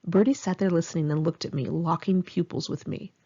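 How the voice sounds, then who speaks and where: A woman's voice: on the low side, brisk, vocal fry, mellow, breathy edge, muffled recording. Wry woman reading her own memoir. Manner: candid, swearing, wry storytelling. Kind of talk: one-take memoir audiobook reading. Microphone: home microphone.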